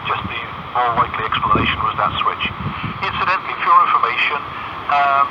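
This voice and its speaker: A man making casual radio chat, heard through a CB radio as an operator on a net. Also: mid-range voice. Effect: received over CB radio. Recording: very noisy, dull CB radio reception. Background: heavy radio and wind noise.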